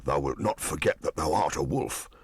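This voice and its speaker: A man as a loyal grey wolf, very low pitch, husky, grave, anxious, storybook. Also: animated, normal speed, dry recording, full character voice. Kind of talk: storybook audiobook acting.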